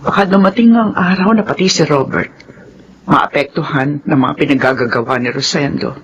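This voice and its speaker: A woman's voice: deep voice, normal speed, dull audio, a little hiss or hum. An actress playing a fretful mother of two grown sons in a Filipino radio drama, with a Filipino accent. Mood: worried, pleading.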